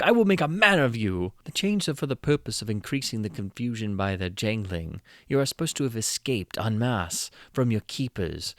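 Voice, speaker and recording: A man's voice: on the low side, no room sound, studio quality. Man reading a classic tale aloud. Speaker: amateur reader.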